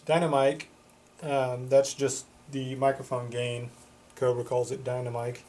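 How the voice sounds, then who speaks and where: A man's voice: medium pitch, flat delivery, measured pace, darkish tone. A man giving a casual product demonstration as a reviewer, plain-spoken and matter-of-fact. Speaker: product reviewer for a CB radio shop.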